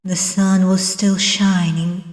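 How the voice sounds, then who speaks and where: Slow, echoey woman narrating dramatically. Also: on the low side, level tone. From Russia. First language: Russian. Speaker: let's player reading the game aloud. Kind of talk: dramatic visual novel narration and voice acting. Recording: echoey, long reverb, distant sound.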